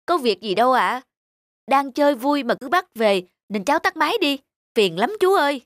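A man voicing a carefree young husband in an animated drama, speaking in a casual, expressive way. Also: very high-pitched, moderate pace, no echo, clean studio sound. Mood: annoyed. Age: young adult. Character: carefree young husband, easily irritated by his wife. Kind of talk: animated moral drama dubbing.

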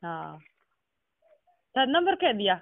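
A man's voice: high-pitched, very animated, a little slow, gravelly, dry recording. A man with a Rajasthani accent in a casual phone conversation, asking questions and repeating words back. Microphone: telephone. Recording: tinny, narrow phone call recording.

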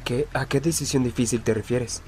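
A man's voice: medium pitch, steady tone, a little fast, darkish tone, slight background noise, lightly acted. A man with a Latin American Spanish accent playing a guarded young murder suspect in an amateur film dub, defensive and challenging. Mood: startled, evasive. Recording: music under the dialogue. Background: background music.

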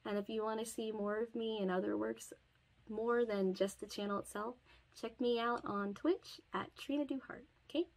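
A woman's voice: fairly high pitch, steady tone, normal speed, low-quality recording. A woman in her twenties speaking casually as a channel host into a studio mic in a bedroom. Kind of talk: casual channel intro and sign-off. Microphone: large studio mic with pop filter.

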